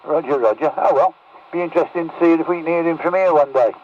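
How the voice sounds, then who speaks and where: Bloke with highish voice chatting on cb, muffled and super staticky. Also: male voice, fast. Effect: CB radio transmission. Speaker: radio operator. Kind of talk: casual CB radio chat. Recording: muffled, very noisy CB radio.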